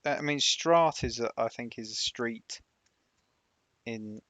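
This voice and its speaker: A man's voice: medium pitch, slow pace, pushed and bright, no echo. A man with a Sunderland accent hosting a geography guessing game stream, bantering bluntly and repeating his jokes. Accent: Sunderland English. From Sunderland, England. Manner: blunt, joking, repeats himself. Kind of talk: casual banter while playing.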